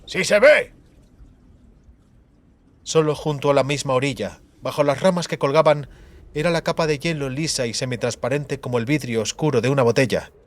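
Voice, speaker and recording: A man's voice: mid-range voice, brisk. A man reading a fantasy story as a dramatic scripted audiobook narration.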